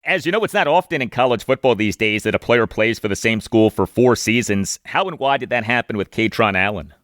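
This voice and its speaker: American sports podcast host, dry close sound. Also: male voice, mid-range voice, lively intonation, brisk, a bit bright, no room sound. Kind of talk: sports interview and sponsor read.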